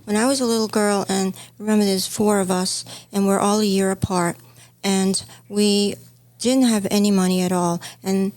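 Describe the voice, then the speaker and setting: A middle-aged woman from Hong Kong whose first language is Chinese, speaking at an ordinary mid pitch. She reminisces in an easy storytelling flow as a podcast co-host. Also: level tone, normal speed, darkish tone. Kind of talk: casual chat.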